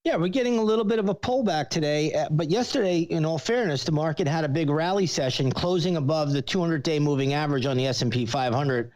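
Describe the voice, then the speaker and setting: A man's voice: on the high side, fast, few pauses, darkish tone, low-quality recording. A man speaking as an analyst on a show, giving conversational, explanatory commentary. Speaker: market analyst, regular guest.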